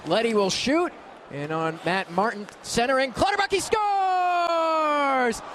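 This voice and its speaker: Man, very high voice, live hockey radio commentary, urgent clipped delivery, loud arena noise. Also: slow pace. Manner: excitable, urgent, clipped running description of action. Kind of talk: live sports play-by-play commentary. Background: loud ambience of a hockey arena.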